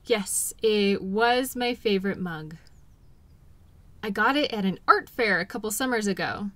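A woman playing a caring, patient girlfriend in an intimate role-play audio, talking gently and soothingly to her partner. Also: mid-range voice, expressive, a little slow, no echo. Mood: reassuring, light. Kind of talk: intimate one-sided role-play acting.

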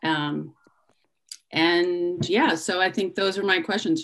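A middle-aged woman giving public comment at a town meeting over a video call from home, speaking haltingly with many pauses and restarts. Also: low-pitched, a bit bright. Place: home room indoors. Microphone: laptop webcam on a video call.

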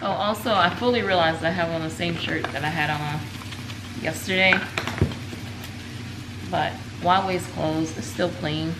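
Casual lower-voiced woman, very noisy recording. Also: fairly even intonation, measured pace, pauses often. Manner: informal, thinking aloud. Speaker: vlog host, home cook. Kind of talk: casual cooking vlog chat. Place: home kitchen, indoors. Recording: very noisy kitchen camera audio.

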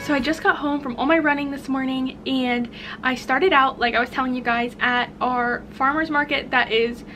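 A woman's voice: high voice, steady tone, moderate pace, over loud music. A young American woman chatting informally and casually, as a vlogger talking to her viewers. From United States. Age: young adult. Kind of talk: casual vlog chat.

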